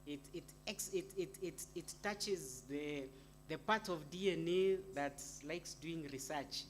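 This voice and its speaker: A woman with a Kenyan accent speaks at an unhurried, slow pace, addressing an audience informally as an academic. Her manner is chatty and playful, and she often turns to her listeners with questions. The live recording has some background noise. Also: sits low. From Eastern Kenya. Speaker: university academic, panel speaker. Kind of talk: informal public talk to an audience. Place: live university event. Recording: clearly noisy live event recording.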